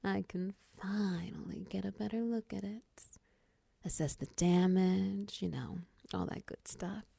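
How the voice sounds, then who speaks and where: A woman playing a friendly, flirty, lonely spider woman in an intimate comfort roleplay, murmuring softly and soothingly with teasing endearments. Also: mid-range voice, measured pace, creaky, slightly rough, no echo, exaggerated voice. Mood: caring, curious.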